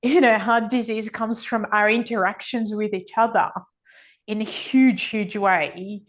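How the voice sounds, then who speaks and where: A woman's voice: mid-range voice, steady tone, unhurried. A woman speaking as an interview guest, explaining her views at length in an earnest, emphatic way and repeating phrases for weight. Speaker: podcast guest, author and energy healer.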